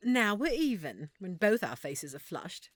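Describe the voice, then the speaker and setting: A woman voicing a shy, flustered half-elf shopkeeper who stammers softly and nervously. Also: mid-range voice, no room sound. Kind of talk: audio roleplay acting.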